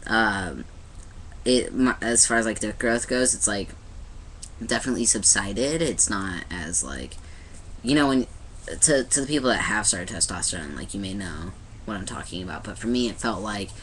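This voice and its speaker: A man's voice: lowish voice, lively intonation, moderate pace, a bit bright, a little hiss or hum, rough recording. A young man talking casually to his camera in a personal video diary, rambling with many restarts and fillers. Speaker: vlogger. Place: indoors in front of a light.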